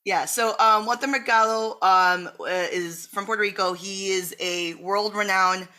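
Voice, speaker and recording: A woman's voice: mid-range voice, steady tone, measured pace, bright, forceful tone. A woman comedian from Miami, talking casually as a podcast guest, brash and joking with plenty of swearing. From Miami, USA. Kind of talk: casual chat.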